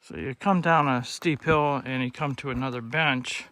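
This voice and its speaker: A man's voice: medium pitch, steady tone, normal speed, pushed and bright, dry recording. A man casually narrating a hike outdoors on a trail in a plainspoken, matter-of-fact way. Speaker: hiking vlogger. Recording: outdoor recording with clear background noise. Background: outdoor nature ambience.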